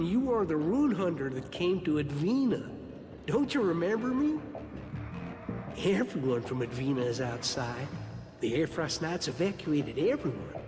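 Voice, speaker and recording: High, animated flustered townsman. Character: flustered, talkative male townsman in a fantasy game. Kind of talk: scripted game cutscene dialogue. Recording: echoey long reverb, music behind.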